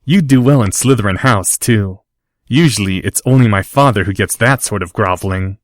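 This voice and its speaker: A man's voice: lowish voice, moderate pace, no echo, studio quality, close to an everyday voice. A man voicing a proud, snobbish rich boy who talks down to others. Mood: haughty. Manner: lofty, condescending.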